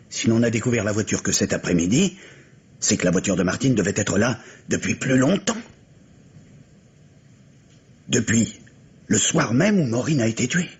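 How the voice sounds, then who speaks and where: An actor playing an anguished, fearful father in an old-fashioned French radio mystery, with a halting, dramatic delivery. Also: low-pitched, a little mellow, breathy edge, full character voice. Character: anguished father named Griffin, fearful for his daughter. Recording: dull, noisy old radio recording.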